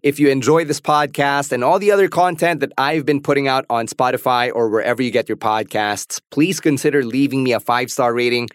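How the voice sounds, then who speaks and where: A man's voice: medium pitch, brisk, hardly any pauses, clear, resonant tone, no echo, studio quality. A man hosting a podcast in a conversational style. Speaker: podcast host.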